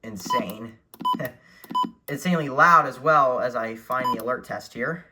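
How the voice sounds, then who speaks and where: A man's voice: mid-range voice, measured pace. A man giving a casual, chatty show-and-tell narration at home as an enthusiastic hobbyist, filming with a handheld camera. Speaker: weather radio collector presenting his collection. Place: indoor room at home. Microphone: handheld camera, speaker off screen. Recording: faint noise, clicking of buttons.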